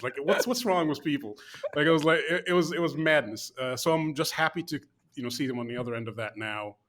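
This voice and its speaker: A man co-hosting a games podcast, telling an animated story full of "like". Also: mid-range voice. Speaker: games journalist co-host. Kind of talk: casual podcast chat.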